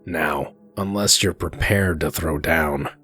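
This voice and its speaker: A man playing a gruff, commanding werewolf detective in a comic dub, curt and threatening, with a gravelly voice. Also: rumbling low, takes it slowly, pushed and bright, soft background music, full character voice. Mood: stern, menacing.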